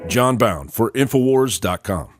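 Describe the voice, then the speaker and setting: A man's voice: fairly low pitch, expressive, a little slow, loud music behind the voice. A man reading a scripted news report as narrator, with a grave, accusatory delivery.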